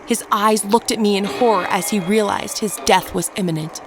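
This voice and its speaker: A woman playing a ruthless, unrepentant ageless murderess, narrating her tale in a measured, dramatic audio drama style. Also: on the low side, background noise, close to an everyday voice. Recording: narration over prominent background music. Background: music.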